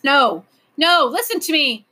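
A woman's voice: fairly high pitch, moderate pace, sharp tone. A woman voicing an earnest, apologetic male professor in a storybook, hesitant and pleading as he explains.

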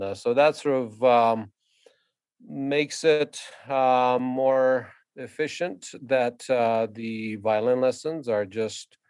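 A man, answering interview questions haltingly with many filler sounds and restarts. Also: medium pitch, a little mellow, no echo. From Romania. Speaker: guest violinist and conductor.

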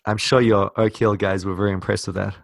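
A man's voice: on the low side, little pitch movement, fast, vocal fry, no room sound, studio quality. A South African-born man with an American-sounding accent, co-hosting a casual chat and rambling with lots of fillers and teasing. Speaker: podcast co-host.

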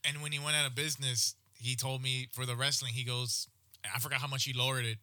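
Male co-host, relaxed swearing banter, bright tense tone. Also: medium pitch, fast talker. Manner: swears often, agrees along, laughs. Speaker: podcast co-host. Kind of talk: casual banter.

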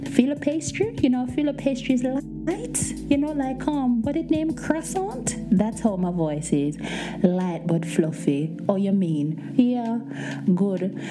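Woman, light soft voice, playful storytelling, swooping pitch, background music. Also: takes it slowly. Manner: animated, playful, breaks into singing and laughter. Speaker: podcast host. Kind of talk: casual storytelling.

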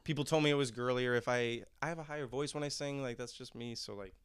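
A young man chatting on a podcast in a loose, rambling way, laughing often. His voice is smooth and resonant, recorded close and dry with no room sound. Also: mid-range voice, fast talker, no room sound. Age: young adult. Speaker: musician guest. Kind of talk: casual podcast chat.

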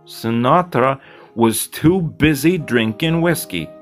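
A man's voice: mid-range voice, animated, slow, soft background music, pitched above the performer's own voice. A man voicing a slick, blunt male bartender who talks in a teasing, lecturing way.

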